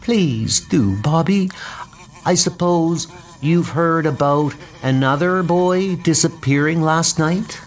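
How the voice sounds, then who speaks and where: A man voicing a worried, gentle mother in a comic book dub, speaking with care. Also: a little high, slow, faint music behind.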